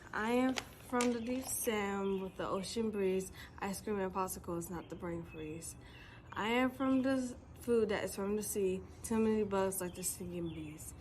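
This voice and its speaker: A young girl student reading her own poem aloud, steady and careful. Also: mid-range voice. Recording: faint background noise.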